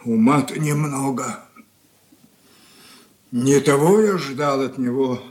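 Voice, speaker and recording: Man acting old merchant patriarch, mid-pitched, gruff, grumbling, reproachful, radio drama. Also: slow pace, darkish tone, lightly acted. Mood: grumbling, scornful. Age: older.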